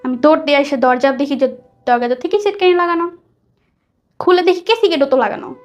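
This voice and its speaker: High-pitched Bangladeshi woman storyteller. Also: brisk, lo-fi sound. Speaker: guest telling a personal story. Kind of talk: conversational personal storytelling. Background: soft background music.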